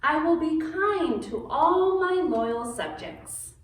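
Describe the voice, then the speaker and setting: A woman voicing an imaginative, eager little girl in an expressive, drawn-out picture book reading for children. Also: high voice, voice pushed up. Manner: expressive, drawn-out storybook delivery. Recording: very echoey room, distant mic.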